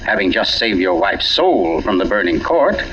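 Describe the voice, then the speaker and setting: An actor playing an urbane, pompous gentleman writer turned sleuth in a 1940s radio mystery, teasing and deliberate in his delivery. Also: lowish voice, very animated. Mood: grandly self-assured. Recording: dull lo-fi old radio broadcast.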